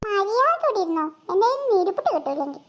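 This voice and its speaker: A performer voicing a brisk, exasperated young mother who admonishes in a comedy cartoon. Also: very high-pitched, big pitch swings, a bit bright, slight background noise, heavily acted. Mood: coaxing, firm.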